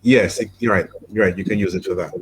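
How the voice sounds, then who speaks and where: A man's voice: low-pitched, fairly even intonation, fast talker, breathy edge. A male guest explaining technology on the radio, hesitant, with many ums and restarts. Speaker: guest expert on artificial intelligence. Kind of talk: remote radio interview.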